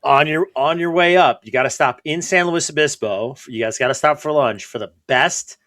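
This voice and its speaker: Forceful, easygoing man hosting a podcast. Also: fairly high pitch, moderate pace. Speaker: podcast host. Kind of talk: casual podcast conversation.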